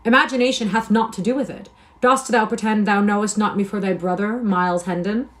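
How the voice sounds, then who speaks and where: An eager young soldier back from the wars, read by a woman, pouring out old-fashioned, impassioned speech in a voice of middling pitch. It comes from a storybook reading. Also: fairly even intonation, fairly quick, lightly acted. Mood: indignant. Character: eager young male soldier returning home.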